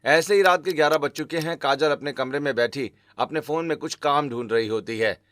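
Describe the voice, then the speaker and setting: Man, scripted storybook narration, slightly high voice, steady. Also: a little fast, no room sound. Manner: steady, explanatory.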